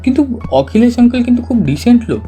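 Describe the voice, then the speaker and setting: An actor playing an excitable, trusting young male sidekick in a detective audio drama, questioning eagerly and hesitantly. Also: very high-pitched, fast, soft background music. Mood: excited, doubtful.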